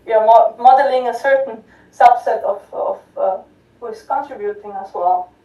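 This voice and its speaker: A woman's voice: a little high, normal speed, mellow. A woman giving an academic conference talk, with many fillers and restarts, often checking in with "right?". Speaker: conference speaker. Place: lecture hall. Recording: distant echoey lo-fi hall recording.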